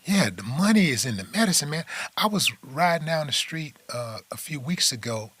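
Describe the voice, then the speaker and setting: A man chats casually and trades anecdotes. His voice is bright and sits a little high, and the sound is dry and close. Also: lively intonation, moderate pace, breathy edge, no room sound. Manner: loose, anecdotal, chiming in. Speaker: guest. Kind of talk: casual chat.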